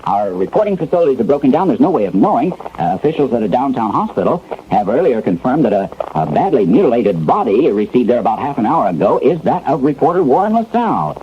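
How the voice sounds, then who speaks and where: A man's voice: mid-range voice, sing-song, fast, hardly any pauses, close to an everyday voice. Actor playing a stammering, shaken radio newsman. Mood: grave, uneasy. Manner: stammering, hesitant, fumbling for words, flustered. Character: shaken male radio news anchor reporting alien invasion. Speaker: studio news anchor. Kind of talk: 1970s radio drama, mock breaking news bulletin. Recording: dull, noisy old radio recording.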